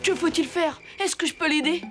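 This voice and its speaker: Casting: plucky little boy, high voice, earnest, cartoon adventure with loud score. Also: fairly quick. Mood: concerned. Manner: earnest, eager. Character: brave, eager, kind-hearted young Sioux boy. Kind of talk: French-dubbed children's cartoon acting. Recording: loud music underneath.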